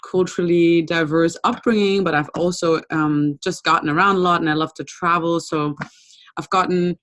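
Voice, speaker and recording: A young woman from Brazil giving an informal webinar talk over a video call, conversational and relaxed with frequent ums. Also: on the low side, normal speed. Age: young adult. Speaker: webinar presenter.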